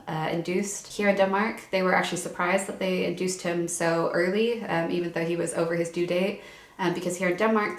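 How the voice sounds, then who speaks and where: A young woman talks in a relaxed, rambling vlog, stopping and restarting her sentences with many fillers. Her voice sits low and stays fairly level. The room around her adds a clear echo. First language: English. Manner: chatty, lots of fillers and restarts. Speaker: vlogger. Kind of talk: casual chat. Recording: echoey room.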